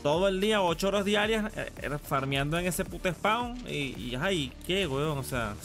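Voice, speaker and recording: A man's voice: on the high side, expressive, normal speed, a bit bright. A man chatting casually on a live game stream, slangy and sweary as he gripes like a regular player. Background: music.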